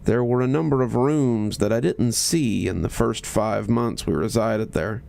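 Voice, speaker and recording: Low gravelly man narrating horror. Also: a little slow, a little mellow. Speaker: storyteller reading horror fiction aloud. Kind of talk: scripted horror story narration.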